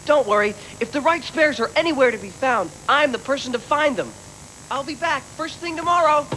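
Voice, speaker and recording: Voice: high-pitched, brisk, bright, forceful tone, slight background noise, rough recording. A performer voicing a cheeky, confident boy mechanic in an English anime dub, from an old tape.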